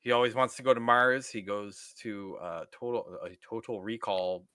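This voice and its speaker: A man co-hosts a casual movie podcast, joking crudely as he sums up the plot. His voice is bright and forceful. The recording is dry and close. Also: fairly low pitch, steady tone, normal speed, smooth, no echo. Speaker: podcast co-host.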